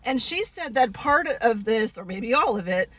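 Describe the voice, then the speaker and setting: Chatty woman in her fifties, slightly high. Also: sing-song, moderate pace, a bit bright. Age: 50s. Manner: rambling, chatty, many fillers and restarts; candid, laughs at herself. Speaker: vlogger. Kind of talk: casual chat to camera.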